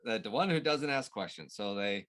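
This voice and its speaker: A man in casual conversation as a co-host, recapping a story in a halting way and searching for words. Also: lowish voice, expressive, a bit bright. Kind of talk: casual chat recapping a TV show.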